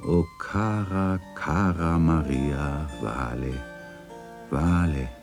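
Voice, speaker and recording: An actor playing a melancholy old chronicler in a literary radio play, narrating in a wistful, theatrical and solemn way. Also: male voice, extremely low pitch, drawn-out words, over music, full character voice. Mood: mournful. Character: melancholy old male chronicler remembering his neighbourhood and its dead.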